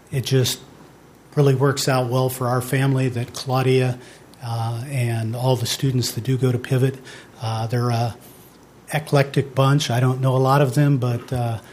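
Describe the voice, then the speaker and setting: A man, a parent, giving a halting public comment at a school board meeting. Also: medium pitch, darkish tone, slight background noise.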